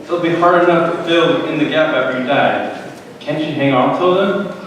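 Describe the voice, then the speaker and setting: A man's voice: on the high side, normal speed, a bit bright, a little hiss or hum, lightly acted. A man playing a brash, selfish young prodigal son in a dramatic reading of a parable, theatrical and emphatic. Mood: pleading, self-centred. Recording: roomy echoing hall, distant microphone.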